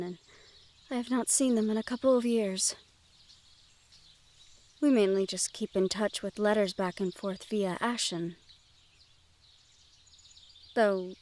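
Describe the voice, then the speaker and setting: A woman playing a gentle, curious young noblewoman in a fantasy audio roleplay, speaking to the listener in formal, measured phrases. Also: mid-range voice, lightly acted. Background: outdoor garden ambience with birdsong.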